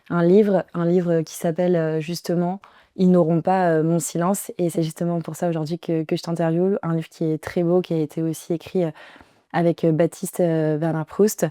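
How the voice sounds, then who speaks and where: A woman's voice: lowish voice, warm tone, no room sound, clean studio sound. A woman hosting an interview podcast, asking questions in a hesitant, friendly way. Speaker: podcast host.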